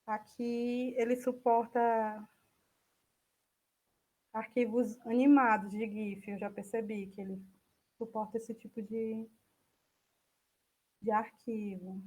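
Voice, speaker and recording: A Brazilian woman giving an informal online software demo, thinking aloud hesitantly as she presents and answers questions. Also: on the high side. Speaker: speaker giving an online talk.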